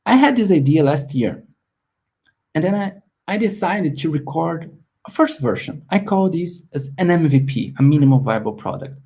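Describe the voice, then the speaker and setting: Guy in his 30s pitching his course on a webinar, earnest, husky mellow voice that swings up and down a lot. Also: animated, normal speed. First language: Portuguese. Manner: earnest, plain non-native phrasing, some restarts. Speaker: course creator hosting a webinar. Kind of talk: live webinar sales pitch.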